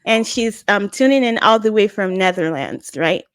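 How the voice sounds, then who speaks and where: Upbeat woman hosting with smooth dry voice. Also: mid-range voice, animated, normal speed, no echo. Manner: upbeat, enthusiastic. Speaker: podcast host. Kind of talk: podcast hosting. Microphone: video call.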